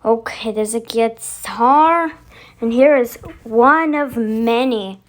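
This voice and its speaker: A woman's voice: fairly high pitch, takes it slowly. A woman hosting casually, musing aloud as she announces each step of a voice effects demonstration. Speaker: video host.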